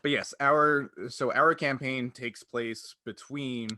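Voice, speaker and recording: A man's voice: medium pitch, fairly even intonation, takes it slowly, sharp tone. A man talking casually and jokily as a podcast host, explaining sci-fi game lore.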